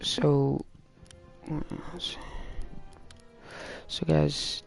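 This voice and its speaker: Gravelly young man rambling a story. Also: fairly high pitch, little pitch movement, drawn-out words. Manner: rambling, filler-heavy storytelling. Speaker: gaming vlogger. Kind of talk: casual storytime while playing a shooter game. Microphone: gaming mic. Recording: game music loud in the background. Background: game music.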